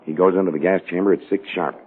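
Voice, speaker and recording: A man's voice: low-pitched, steady tone, racing, natural voice. A man playing a terse, exacting criminal schemer giving orders in a 1940s radio drama. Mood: tense, commanding. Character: commanding criminal schemer, brisk and exacting boss. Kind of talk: 1940s radio crime acting. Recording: muffled vintage 1940s radio recording.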